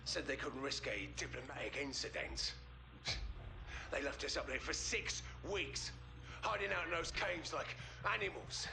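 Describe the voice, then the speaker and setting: A man's voice: a little high, unhurried, creaky. A man playing a haunted, volatile British special forces sergeant in a video game, terse and menacing, on a noisy taped interview. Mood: bitter, haunted. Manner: terse, menacing, prone to flaring up.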